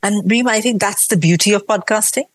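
A woman's voice: medium pitch, a little fast, no echo. A woman from India with a mixed Indian and British accent, speaking earnestly and reflectively as a guest in a podcast conversation. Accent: Indian, from Mumbai, Bangalore and Kerala, mixed with British.

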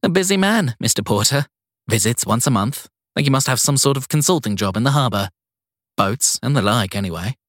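A man's voice: mid-range voice, animated, brisk, fairly bright tone, no echo, studio quality, pitched above the performer's own voice. Man voicing a friendly, lilting guesthouse landlady. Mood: concerned, chatty. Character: friendly, chatty middle-aged woman running a seaside guesthouse. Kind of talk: audiobook character dialogue.

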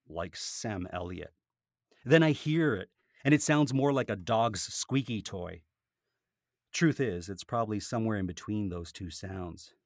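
A man speaking as a podcaster and author, telling things in an animated, conversational way. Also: fairly low pitch, no room sound, studio quality. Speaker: podcaster and author, interview guest. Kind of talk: casual conversational interview, with a read-aloud book passage.

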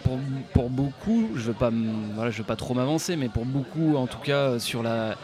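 A man, a guest musician, answering interview questions in a hesitant way full of pauses and restarts, at a folk club bar. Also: medium pitch, animated, over music. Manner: hesitant, many fillers and restarts. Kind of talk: casual interview. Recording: live bar recording with crowd chatter. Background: crowd chatter.

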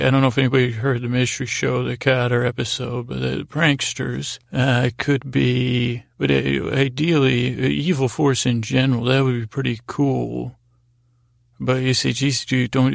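A man hosting a bedtime podcast with a gravelly, creaky voice, rambling in a halting, repetitive, folksy and digressive monologue. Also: medium pitch, dry recording, studio quality. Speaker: podcast host.

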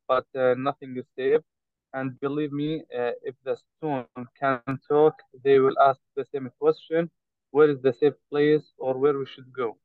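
A man born in Saudi Arabia, speaking haltingly with many hesitations as a guest in an interview. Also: medium pitch, smooth, no echo. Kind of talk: interview over a call. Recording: tinny choppy call line.

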